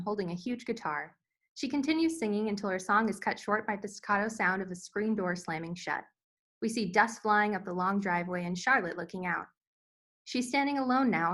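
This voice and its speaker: Woman narrator with medium pitch. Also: a bit bright. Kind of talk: scripted narration of screenplay directions.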